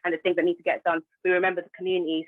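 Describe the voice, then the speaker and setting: Phone-like, lowish-voiced woman in discussion. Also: flat delivery, rapid-fire, no echo. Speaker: community campaigner, radio guest. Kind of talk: informal radio discussion. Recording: tinny phone-like connection.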